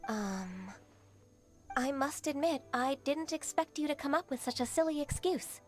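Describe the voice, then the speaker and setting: A woman playing a solemn, polite young female official in a video game, speaking in a formal, measured way. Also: sits high, expressive, a little mellow. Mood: hesitant. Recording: game music behind.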